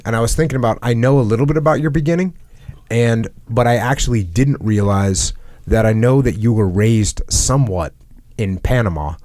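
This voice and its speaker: A man's voice: mid-range voice, moderate pace, a little mellow, no echo, studio quality. A male podcast host interviewing a guest, asking short probing follow-up questions. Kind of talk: conversational interviewing.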